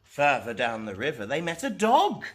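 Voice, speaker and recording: A man hosting storytime, reading aloud to children in an animated storytelling style. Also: fairly high pitch, normal speed.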